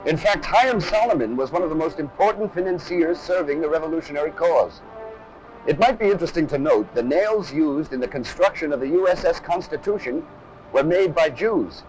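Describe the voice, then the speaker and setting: A man's voice: a little high, lively intonation, a little fast, darkish tone, soft background music, low-quality recording. A man giving scripted, dramatic documentary narration on a harsh, over-modulated old film soundtrack. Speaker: presenter of an army training film.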